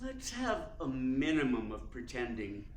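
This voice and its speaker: Guy acting a suave sly theater critic in a radio play, formal and deliberate, echoey room w/ faint noise. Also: medium pitch, huge pitch swings. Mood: suave, probing. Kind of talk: radio play narration and dialogue. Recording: roomy echo, faint background noise.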